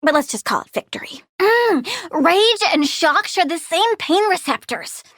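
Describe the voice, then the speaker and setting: A woman's voice: very high-pitched, very animated, a little slow, fairly bright tone, no room sound, studio quality, full character voice. A woman voicing a bratty, eager little girl plant villain and scientist in a video game, petulant and cutesy, scornful of humans. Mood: eager, smug. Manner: petulant, cutesy, childlike delivery with sighs and scoffs. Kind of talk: video game character voice lines.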